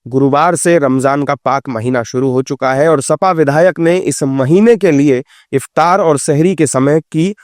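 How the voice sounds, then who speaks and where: Guy doing news voiceover, slightly high pitch, really dry studio sound. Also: measured pace, darkish tone, no echo. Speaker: news narrator. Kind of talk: scripted news narration.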